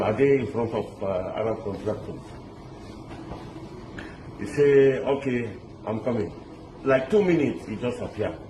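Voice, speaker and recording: A man from Lebanon, interviewed outdoors, telling his story animatedly and mixing in pidgin English. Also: lowish voice. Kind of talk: street interview recounting. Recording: echoey, with loud ambient noise.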